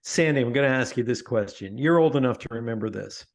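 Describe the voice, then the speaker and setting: Middle aged guy talking on a show, keeps restarting, all fired up, normal pitch. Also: fast talker. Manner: animated, emphatic, many restarts. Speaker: podcast guest, author. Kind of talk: casual interview talk.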